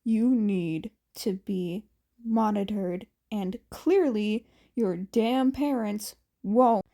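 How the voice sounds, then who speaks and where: A stern adult man, played by a woman, pitched down from the reader's own voice. He is gruff and blunt, handing out terse orders in a flat, commanding way, as in an audiobook. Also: very slow. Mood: firm, blunt.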